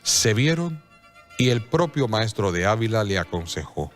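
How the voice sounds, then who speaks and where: A man narrating a scripted radio drama as a measured, solemn storyteller. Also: on the low side, sing-song, a bit bright, soft background music.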